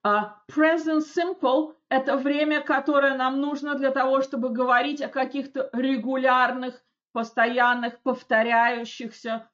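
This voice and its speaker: A woman teaching grammar with a slightly high voice, talking in a chatty, step-by-step way and pausing to check in with her students. She reads the example sentences slowly and distinctly. The audio is dull and lo-fi. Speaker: English teacher. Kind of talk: online lesson explaining grammar.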